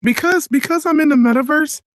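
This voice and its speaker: A man calling in to a show, shy and halting, with a soft sweet voice over an online call. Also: extremely high pitch, a little hiss or hum. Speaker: call-in listener. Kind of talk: comic call-in bit.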